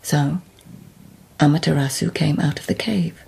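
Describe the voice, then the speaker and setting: A woman playing a cool, astute lady-in-waiting in a radio drama, speaking formally and drily with a husky voice. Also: deep voice, steady tone, measured pace, warm tone, heavily acted. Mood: measured. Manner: formal, crisp phrasing, dry.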